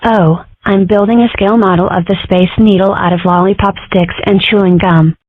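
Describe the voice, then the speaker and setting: A woman's voice: medium pitch, fairly even intonation, normal speed, no echo, lightly acted. A woman voicing a cheeky, mischievous young animal girl in a comic dub, with a teasing, flippant, casual manner. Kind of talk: comic strip dub, character acting. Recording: muffled, dull, dry audio.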